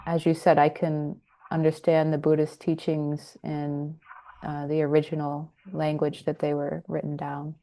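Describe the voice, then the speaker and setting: A woman, a Buddhist nun, giving a clear and composed introduction to a class over a video call. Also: deep voice, monotone, unhurried, dark tone, poor audio.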